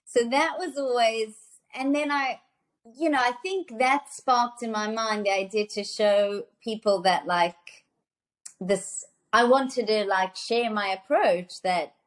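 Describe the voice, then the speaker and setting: A woman's voice: on the high side, slow. A woman in her thirties from South Africa, a nutrition author chatting as a podcast guest, enthusiastic and full of fillers and restarts. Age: 30s. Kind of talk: casual interview conversation.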